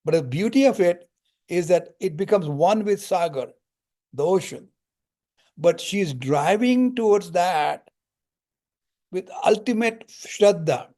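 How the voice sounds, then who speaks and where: Older male lecturer with a slightly high voice, calm and parable-driven, teaching spiritual texts. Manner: calm, storytelling explanations with Sanskrit terms.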